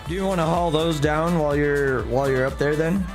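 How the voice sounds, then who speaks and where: Streamer type, hoarse man with a slightly high voice, chatty with chat, music under him. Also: a little fast. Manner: chatty, greets viewers by name. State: hoarse, losing his voice. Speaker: streamer playing a driving sim. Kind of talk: casual live-stream chat.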